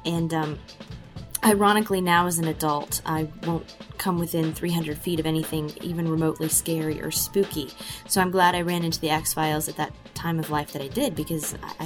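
Chatty woman with a lowish voice. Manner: chatty, rambling, with restarts. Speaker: voice actress, interview guest. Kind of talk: casual interview answers.

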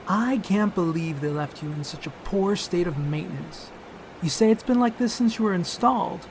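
An actor playing a fussy, protective gadget inventor in a spy spoof, earnest and theatrical. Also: male voice, sits high, unhurried, noisy background. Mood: soothing, indignant.

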